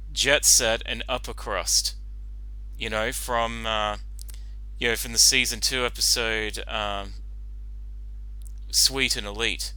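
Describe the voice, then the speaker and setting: A man gives casual reaction commentary. His voice is very bright and clear, and he rambles slowly with frequent stops. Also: medium pitch, no room sound, low-quality recording. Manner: halting, rambling, repeats you know. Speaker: reaction commentator and fan-fiction author.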